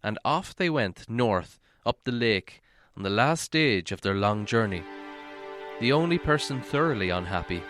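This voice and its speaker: A man reading a story aloud as narration, in a measured, dramatic storytelling manner. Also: lowish voice, animated, a bit bright, faint music behind. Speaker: audiobook reader.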